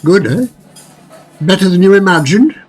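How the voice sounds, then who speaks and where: Man playing a husky, courtly, sinister restaurateur. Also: sits high, animated, normal speed, soft background music. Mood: suave, ominous. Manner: formal, courteous, ominous. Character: courtly, sinister male restaurant proprietor, suave and cryptic. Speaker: actor in a radio drama. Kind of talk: radio horror drama acting.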